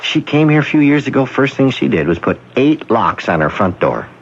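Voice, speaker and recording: A man acting an obliging small-town locksmith in a radio drama, husky and folksy in his chatty talk. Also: mid-range voice, sing-song, moderate pace. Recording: dull, muffled old radio recording.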